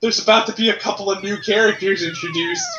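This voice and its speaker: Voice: mid-range voice, huge pitch swings, quick pace, lo-fi sound, over music. A streamer reading fan fiction aloud as a comic dramatic reading, amused and breaking off to react with casual asides.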